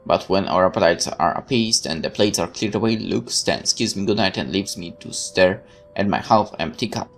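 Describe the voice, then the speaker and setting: A woman with a strikingly deep voice reads story narration from a visual novel aloud as a let's play host. She drops in asides of her own, with faint music playing behind her. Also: moderate pace.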